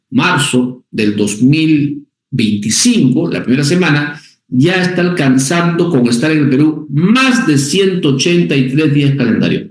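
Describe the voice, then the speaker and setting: A man teaching a tax class, repeating key points and checking in with short questions. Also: on the high side. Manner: repetitive, checks in with "¿ok?" and "¿ya?"; stresses key words by repeating them. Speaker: tax instructor. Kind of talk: recorded class lecture. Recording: echoey room.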